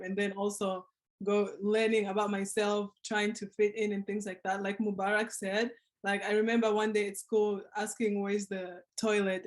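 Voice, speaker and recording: A woman in her twenties telling her personal story on a panel, earnest and reflective, over a video call. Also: medium pitch. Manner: earnest, reflective, with soft laughs. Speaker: panel speaker.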